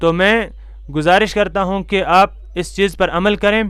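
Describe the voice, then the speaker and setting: A male cleric delivers religious guidance in a high-pitched, clear voice. His manner is formal and instructive, stressing points by repeating them. The sound is close and dry, with very little room in it. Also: steady tone, normal speed, fairly bright tone, no echo. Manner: formal, instructive, emphatic repetition. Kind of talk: religious lecture to camera.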